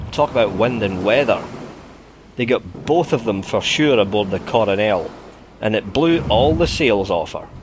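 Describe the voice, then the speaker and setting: Animated male storyteller spinning a yarn. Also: mid-range voice, background noise. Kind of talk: dramatic scripted narration of a sea yarn.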